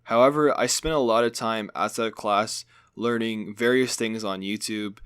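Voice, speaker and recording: A young man whose first language is Hungarian, narrating a school video project in a relaxed, conversational way with fillers. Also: fairly low pitch, normal speed, clear, resonant tone.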